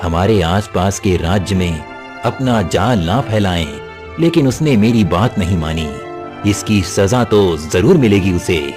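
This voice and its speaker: Man acting old sage, low-pitched, solemn, grave guiding manner, cartoon moral tale, prominent background music. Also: animated, unhurried. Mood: stern.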